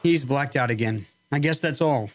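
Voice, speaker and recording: An actor in a radio drama playing a clinical doctor who speaks precisely and coaxingly to a troubled patient. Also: male voice, medium pitch, moderate pace, heavy background noise, lightly acted.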